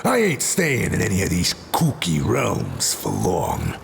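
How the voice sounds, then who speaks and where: A man with an American accent playing a small, cocky, tough-talking fighter in a video game, gravelly and brash. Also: deep voice, huge pitch swings, a little slow, noisy background, exaggerated voice. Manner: brash, slangy.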